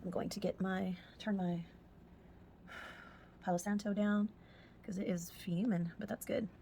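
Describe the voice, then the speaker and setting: A woman with a husky, hoarse voice doing a spiritual tarot reading as a casual monologue, speaking softly and sometimes close to a whisper. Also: medium pitch, steady tone, a little slow, slight background noise. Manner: soft-spoken, drifts into whispers at times. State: hoarse, losing her voice. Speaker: tarot reader.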